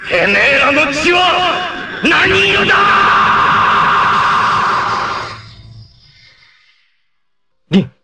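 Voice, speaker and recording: A man's voice: very high voice, no echo, full character voice, low-quality recording. A fierce young male martial artist. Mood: enraged shouting. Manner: shifts from measured calm to raging shouts. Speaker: anime voice actor. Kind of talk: 1980s anime dub acting.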